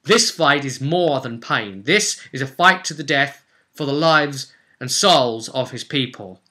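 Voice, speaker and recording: A man's voice: medium pitch, animated, unhurried, pushed and bright. A man narrating a tabletop role-play as game master, theatrical and dramatic, in short punchy phrases.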